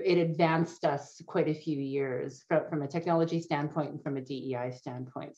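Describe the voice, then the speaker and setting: A middle-aged woman speaking as a panelist on a video call, upbeat and slightly halting. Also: sits low, mellow. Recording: dull video-call audio.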